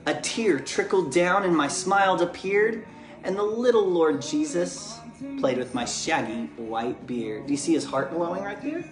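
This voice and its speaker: A man in his thirties with an American accent, reading a bedtime story aloud and chatting casually with viewers, gentle and encouraging as if to a child. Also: a little high, animated, measured pace. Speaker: father and children's book author reading aloud. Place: living room at home, indoors. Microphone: camera or phone propped just beyond arm's length. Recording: echoey living room, music behind.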